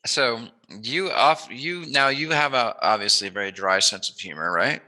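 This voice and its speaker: A man's voice: mid-range voice, normal speed, sharp tone, low-quality recording. A man hosting a casual, chatty interview, tossing in joking asides as he asks questions. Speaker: interviewer, host.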